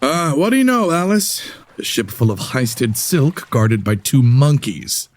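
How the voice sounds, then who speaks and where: A man's voice: medium pitch, very animated, a little slow. A man playing a cocky, menacing 1950s smuggler in a comedy audio drama, with taunting tough-guy talk.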